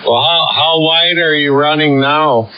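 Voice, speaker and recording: A man's voice: a little high, unhurried, lo-fi sound. A man in casual conversation over a sideband radio link. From United States. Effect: sideband radio transmission. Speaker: amateur radio operator. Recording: hissy sideband radio with static. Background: radio hiss.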